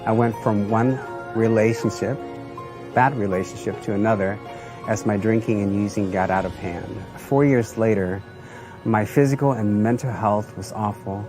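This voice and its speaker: A man giving an earnest personal testimonial, telling his story plainly in short phrases. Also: fairly low pitch. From Southeast Asia and the United States. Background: music.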